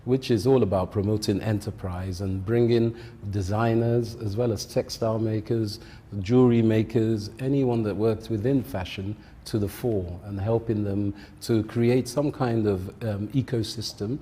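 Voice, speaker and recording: Nigerian guy on a tv interview, lowish voice, lots of ums, echoey hall. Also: steady tone, warm tone, slightly rough. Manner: measured, with frequent ums. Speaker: guest, content creator and fashion designer. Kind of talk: TV interview answers.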